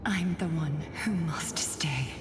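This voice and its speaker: A woman playing a dignified, resolute noblewoman leader in a video game, composed, solemn and measured. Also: low voice, echoey room, long reverb tail, noisy background. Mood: solemn resolve. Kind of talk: scripted video game acting.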